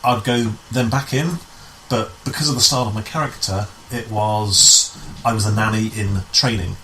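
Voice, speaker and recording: Bright-voiced man chatting as a guest. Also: lowish voice, fairly even intonation, moderate pace, slight background noise, low-quality recording. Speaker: amateur pantomime director, interview guest. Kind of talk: casual conversational storytelling.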